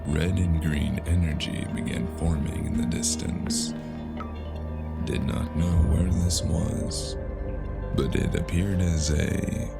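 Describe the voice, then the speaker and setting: An actor playing a gruff, weary cyberpunk investigator, narrating his own story in a deadpan, noir-like audio drama style with a gravelly voice. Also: very deep voice, full character voice. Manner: deadpan, profane. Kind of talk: noir-like scripted narration and dialogue. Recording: music behind the voice.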